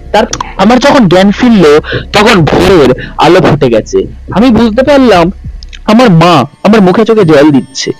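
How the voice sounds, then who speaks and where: A man's voice: high-pitched, darkish tone, poor audio. A man narrating in a dramatic, suspenseful ghost-story style as a channel host. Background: background music.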